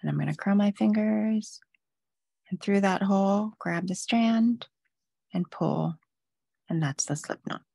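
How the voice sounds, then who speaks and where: A woman's voice: mid-range voice, measured pace, gravelly, long pauses, warm tone, no echo, clean studio sound. A woman patiently walking viewers step by step through knitting stitches in a live online demo. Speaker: knitting instructor.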